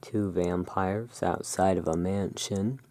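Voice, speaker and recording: Very low, creaky, slow ASMR voice. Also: steady tone. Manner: slow, hushed, deliberate. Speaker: host presenting tarot cards. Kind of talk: whispered ASMR narration.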